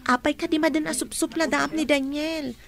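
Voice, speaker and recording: A woman's voice: sits high, steady tone, normal speed, creaky. A Filipino actress in a radio drama playing a sensible young student who chides her friend in a familiar way. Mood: chiding. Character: young female student, sensible, frank schoolfriend.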